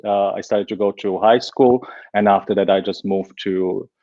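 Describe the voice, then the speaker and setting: A man's voice: on the low side, steady tone, normal speed. Man in his forties, lo-fi sound. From Slovakia. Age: 40s. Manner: chatty, laughs easily, many fillers and restarts. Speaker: guest. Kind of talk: casual interview talk.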